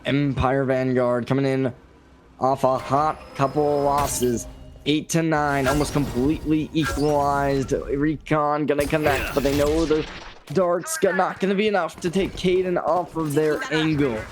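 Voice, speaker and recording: A man giving live, excitable play-by-play commentary on an esports match with dramatic calls. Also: on the high side, measured pace, noisy background.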